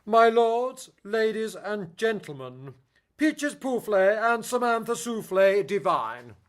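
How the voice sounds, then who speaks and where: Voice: mid-range voice, big pitch swings, slow pace. An actor playing a stately lord chamberlain, proclaiming formally in a radio play.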